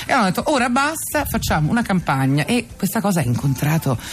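A woman's voice: mid-range voice, sing-song, quick pace, a little hiss or hum. A woman hosting a radio interview in a casual, chatty style, playful and teasing with her guests. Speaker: radio host. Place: radio studio.

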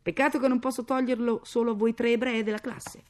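A woman's voice: on the high side, fairly even intonation, fairly quick, a little mellow, voice pushed up, close to an everyday voice. A woman voicing a cold, contemptuous schoolteacher with a sneering, clipped delivery, in a dramatic story reading.

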